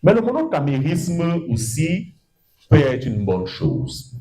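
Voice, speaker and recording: A middle-aged man with a Cameroonian French accent giving a university law lecture, lively and digressive, his pitch leaping up for emphasis and his words drawn out. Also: slow, poor audio. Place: lecture room.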